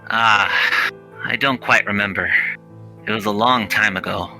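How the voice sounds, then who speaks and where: A man as gentle middle-aged bookish shopkeeper, visual novel character; lowish, very crisp forward tone, courteous, over music. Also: steady tone, heavily acted, rough recording. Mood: courteous, curious. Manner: courteous, measured, wistful. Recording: music behind the voice.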